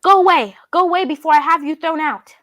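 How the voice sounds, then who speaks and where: A woman playing a flirtatious, quick-tempered society hostess, theatrical and imperious, in a radio drama. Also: high voice, a bit bright, dry recording, clean studio sound. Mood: indignant.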